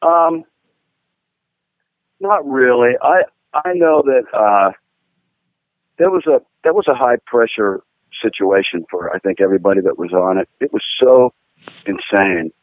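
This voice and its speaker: A man from Pittsburgh, a guitar-playing guest, telling rambling, animated stories with lots of fillers. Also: mid-range voice, measured pace, pauses often, dry recording. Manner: rambling, animated, fillers, laughs at own jokes. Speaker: guest musician, guitar player. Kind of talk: casual storytelling interview. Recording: tinny phone-line sound, dry.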